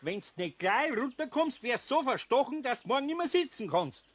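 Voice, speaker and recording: An actor playing a gruff but kindly older craftsman in a children's audio drama, folksy and blustering, with a Bavarian accent. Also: very high-pitched, big pitch swings, normal speed, fairly bright tone, heavily acted. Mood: stern, angry.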